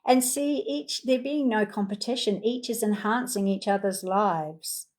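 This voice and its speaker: A woman leading a guided healing meditation in a soothing voice, speaking in repeated gentle affirmations. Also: mid-range voice, a little slow.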